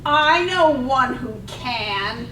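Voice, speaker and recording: A woman's voice: sits high, animated, extremely slow, bright, forceful tone, exaggerated voice. A woman acting a sour, haughty, nagging wife with a prim, cutting delivery in a radio drama reading. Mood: scornful. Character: sour, haughty, nagging wife who scorns her husband. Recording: roomy, distant microphone, faint noise.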